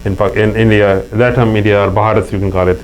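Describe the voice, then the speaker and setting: Pakistani-accented man, lowish voice. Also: level tone, fast, faint music behind, low-quality recording. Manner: earnest, anecdotal, with frequent restarts. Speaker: interview guest. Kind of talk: casual interview talk. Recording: faint mains hum.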